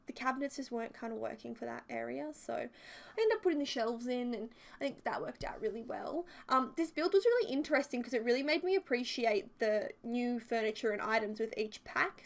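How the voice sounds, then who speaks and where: Chatty young woman, a bit croaky. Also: on the high side. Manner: chatty and rambling, many fillers and side notes. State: slightly croaky from a sore throat. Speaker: video creator narrating a build. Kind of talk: casual voice-over commentary.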